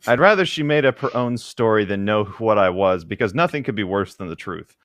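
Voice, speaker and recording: Wry man with lively pitch, dry sound. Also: fairly quick, dry recording. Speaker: co-host of a group read-along show. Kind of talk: casual chat mixed with reading a novel aloud.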